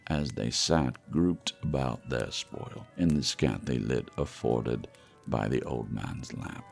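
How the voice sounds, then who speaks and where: A man's voice: rumbling low, creaky, darkish tone. A man reading a ghost story as a solemn, theatrical audiobook narrator. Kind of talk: dramatic scripted storybook narration.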